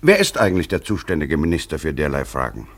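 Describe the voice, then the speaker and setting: An actor in a German radio play voicing a haughty, shrewd aristocratic blackmailer with formal, deliberate, pompous phrasing. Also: male voice, rumbling low, wildly swooping pitch, heavily acted. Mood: haughty, businesslike.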